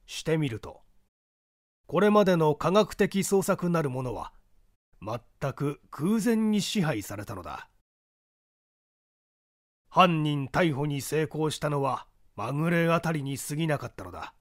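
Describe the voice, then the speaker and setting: An opinionated forensic professor from a mystery audiobook, acted out by a man, holding forth emphatically. The voice sits a little high and moves dramatically up and down, captured dry and close. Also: sing-song, measured pace, long pauses, dry recording. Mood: emphatic, assertive. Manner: didactic, declamatory, emphatic.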